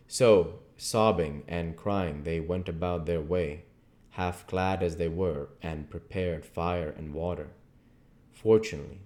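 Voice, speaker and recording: A man reading literary narration aloud as an amateur audiobook reader, in a measured, earnest manner. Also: low voice, darkish tone.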